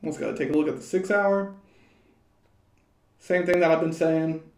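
Young man, hoarse, casual crypto chart talk. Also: fairly high pitch, fairly even intonation, normal speed, a little mellow, echoey room. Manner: loose and rambling, many fillers and restarts. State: slightly hoarse. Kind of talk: casual market commentary over charts. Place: small room at home.

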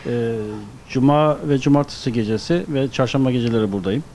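A middle-aged man giving halting, unscripted answers as a restaurant manager being interviewed. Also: medium pitch, darkish tone. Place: restaurant dining room. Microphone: handheld microphone. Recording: clear background noise, restaurant ambience.

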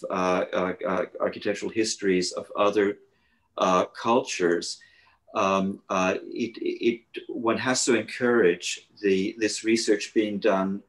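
A man, a guest architect speaking haltingly over a video call from a living room. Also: on the low side. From Switzerland. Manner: halting, many hesitations and restarts. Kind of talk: panel conversation.

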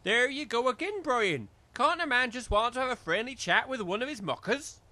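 A man's voice: very high voice, expressive, normal speed, sharp tone, heavily acted. A man playing a chummy, cajoling village labourer and chancer who speaks in rustic dialect, in a comedy audio drama. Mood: wheedling.

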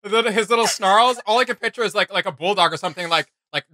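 A young man chatting casually and excitedly as a fan hosting a reaction video. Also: high-pitched, racing. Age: young adult. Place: small indoor room with gaming desks.

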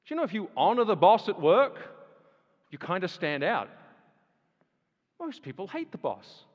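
A man preaching a sermon, firing rhetorical questions and swinging from loud emphasis to hushed lines. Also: sits high, very animated, normal speed, highs cut off. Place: large church hall. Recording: long reverb of a large hall.

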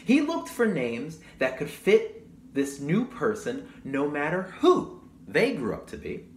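A man's voice: on the high side, huge pitch swings, unhurried. A young man reading a picture book to children, expressively, with chatty asides to his young listeners. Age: young adult. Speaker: children's storybook reader. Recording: roomy echo, audible background noise.

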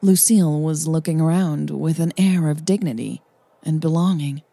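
A woman hosting a podcast and narrating a romance story in a calm, measured, scripted reading. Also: low voice, creaky.

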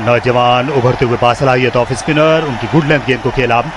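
A man with a Pakistani Urdu accent calls a cricket match ball by ball for radio, in measured, formal phrasing and a mid-range voice. The broadcast carries a steady layer of noise behind him. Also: medium pitch.

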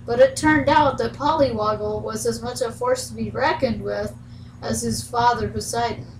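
Casting: female bedtime storyteller, folksy, slightly high voice, faint background noise. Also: fairly even intonation, moderate pace, poor audio. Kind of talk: bedtime story narration, scripted.

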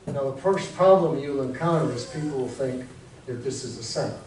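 An American man in his sixties preaching a sermon as a pastor, telling it conversationally in short, deliberate phrases. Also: medium pitch, a little mellow. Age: 60s. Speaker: Lutheran pastor. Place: church sanctuary in front of a congregation. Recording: very echoey church hall, distant mic, faint noise.

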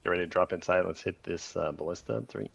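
A man leading a raid group over voice chat, calling short commands in rapid repeated bursts with countdowns. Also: low-pitched, steady tone, creaky, muffled recording.

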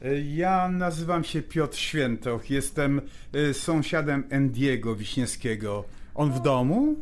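A man's voice: mid-range voice, slow, close to an everyday voice. An actor playing a polite, curious male neighbour in an audio drama, colloquial and mixing English into his talk. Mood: hesitant, polite.

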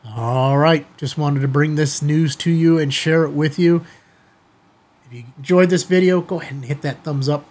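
A middle-aged American man with a slightly husky voice, talking informally and directly to viewers as a video host. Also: a little high, moderate pace, darkish tone. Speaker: amateur radio video host. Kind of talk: casual chatty commentary to viewers. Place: small indoor studio office, seated at desk.

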